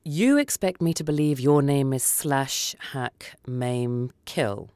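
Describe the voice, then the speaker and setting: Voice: medium pitch, takes it slowly, a little mellow, clear, resonant tone, dry recording, clean studio sound, close to an everyday voice. A narrator voicing a weary, nervous male counsellor in a short story, hesitant and politely official. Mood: uneasy politeness.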